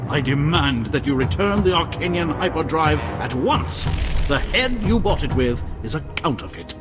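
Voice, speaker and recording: A man's voice: a little high, very animated, normal speed, over music. An actor playing a snooty, easily outraged male museum curator in a video game, with haughty, formal diction.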